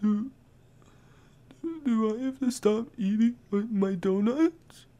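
A woman playing a childlike, lonely and eager records clerk in an audio drama, with a giggly, halting, naive delivery. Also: mid-range voice, mellow, dull audio, close to an everyday voice. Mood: worried, then relieved.

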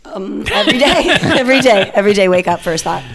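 A woman returning as a guest on a podcast, giggly and quick with comebacks. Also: medium pitch, animated. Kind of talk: casual banter.

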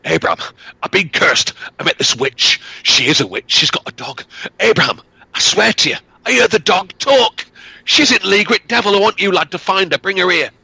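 A man voicing a frightened, angry middle-aged peddler, shouting in a raised voice. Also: high voice, lively intonation, normal speed, gravelly, slightly rough, dry recording, voice pushed up, heavily acted, low-quality recording. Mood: agitated.

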